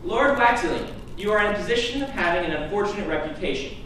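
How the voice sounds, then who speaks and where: A man's voice: sits high, expressive, fast, pushed and bright, recorded from a distance, voice pushed up. In a very echoey, noisy room, a stern young noblewoman voiced by a man reading a story speaks formally. She is exacting and humorless, laying out terms in a clipped, businesslike way. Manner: formal, clipped, matter-of-fact. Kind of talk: live book reading.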